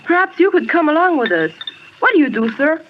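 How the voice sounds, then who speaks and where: A woman's voice: high-pitched, very animated, a little fast. A woman playing a polite, eager, well-mannered young boy in an old-time radio drama. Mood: polite, helpful. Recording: muffled, clearly noisy vintage radio recording.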